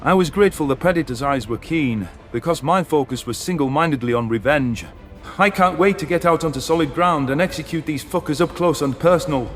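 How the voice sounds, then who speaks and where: A man's voice: fairly high pitch, a little fast, few pauses, soft background music. A man narrating a dramatic first-person science fiction story as an audiobook.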